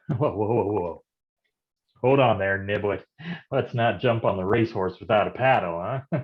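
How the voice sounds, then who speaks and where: A man's voice: on the low side, measured pace, muffled recording, natural voice. A man playing a bumbling, folksy private detective in a staged noir parody, stammering and easily rattled. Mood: nervous bluster. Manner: stammering, nervous chuckling, folksy slang.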